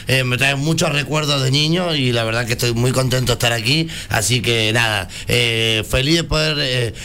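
A man's voice: medium pitch, clean tone. A man from Argentina with an Argentine accent, a circus performer who jokes and speaks with hesitant fillers. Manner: joking, warm, hesitant fillers.

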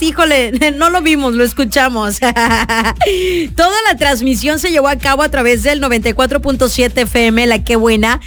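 A bubbly woman DJ with a Mexican accent talks in a high, bright register, her voice a little rough and hoarse. She chats warmly with listeners and laughs easily from a radio booth. Soft music plays faintly beneath her. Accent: Mexican Spanish. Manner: chatty, laughing, greeting listeners. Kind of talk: lively radio show chat.

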